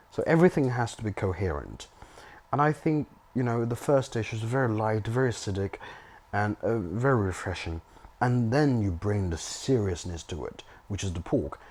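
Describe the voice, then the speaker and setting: A man's voice: fairly low pitch, darkish tone. A young man from China, a filmmaker and home cook, speaking in a documentary interview, reflective and hesitant with many restarts and fillers.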